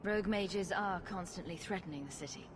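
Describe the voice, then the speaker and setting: A woman's voice: fairly low pitch, brisk, a crowd in the background, natural voice. An actress playing a sarcastic female rogue hero in a fantasy game, speaking in a dry, direct way. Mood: wry. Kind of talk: fantasy video game acting.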